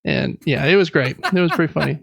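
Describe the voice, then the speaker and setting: A man's voice: on the high side, fast talker, creaky, a bit bright. A man in his thirties hosting a casual podcast, rambling along in a chatty, self-deprecating way. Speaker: podcast host. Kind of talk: casual chat about games and life.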